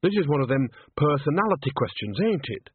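Storybook role: genial, earthy old countrywoman witch, voiced by a male narrator, folksy delivery, lively pitch, band-limited audio. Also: a little fast, husky, no room sound, narrow, tinny audio. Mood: wry. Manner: folksy, easygoing, rustic speech.

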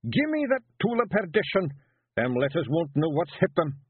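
A man's voice: a little high, big pitch swings, normal speed, slightly rough, no echo, narrow, tinny audio, pitched above the performer's own voice. A man voicing a fierce, stubborn tiny pixie chief with a broad Scottish accent and a growling bluster. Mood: grumbling.

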